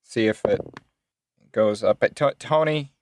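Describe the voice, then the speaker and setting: A man's voice: lowish voice, unhurried, a little mellow. A man chatting casually as a player in a tabletop role-playing game, reading out his results with some swearing. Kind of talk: casual chat while rolling dice.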